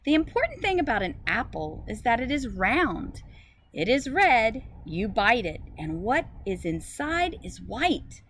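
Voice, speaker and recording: A woman teacher reading a picture book aloud to young children in an expressive way, with simple phrasing. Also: a little high, unhurried. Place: outdoors in a school garden. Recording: noisy outdoor recording with ambient sound.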